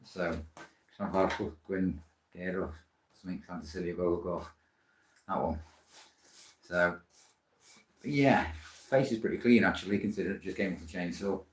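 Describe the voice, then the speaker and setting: A man's voice: low voice, moderate pace, long pauses. A Welsh-born man hosting a live woodturning stream from his workshop, talking in a chatty, rambling, self-deprecating way. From Wales. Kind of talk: live workshop demonstration chat. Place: woodworking workshop. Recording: echoey workshop, distant mic.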